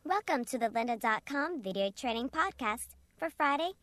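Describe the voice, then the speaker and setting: A woman's scripted podcast introduction, processed with an upward pitch shift into a chipmunk-like voice. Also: animated, moderate pace, sharp tone, no room sound. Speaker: podcast announcer.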